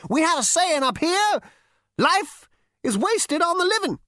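Woman voicing a tiny hunched old ghost man, shrill, lofty, lecturing, pitch leaping around, dry close sound. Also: very animated, measured pace, a bit bright, no echo, heavily acted. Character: crotchety ancient male ghost, small, hunched, scolding. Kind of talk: audiobook character voice.

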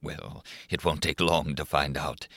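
A man's voice: fairly low pitch, sing-song, moderate pace, bright, forceful tone, no echo, studio quality, voice pushed up, full character voice. A man voicing a menacing, jealous male monster who is angry and mocking.

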